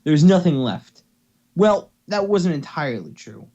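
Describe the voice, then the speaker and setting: A man's voice: a little high, big pitch swings, moderate pace, mellow, dull audio. A young man giving a casual amateur reading of a story, playful and stumbling, with offhand asides. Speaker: reader. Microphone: headset or desktop mic on voice call.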